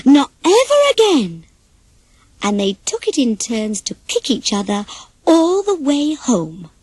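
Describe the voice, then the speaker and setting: A woman reading a children's story as an animated, scripted narration. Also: on the high side, unhurried.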